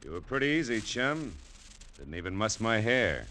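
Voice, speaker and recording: A man's voice: lowish voice, sing-song, moderate pace, pushed and bright. An actor playing a smug, taunting tough-guy crook with a breezy, slangy manner in a 1940s radio drama. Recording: old radio recording, faint noise.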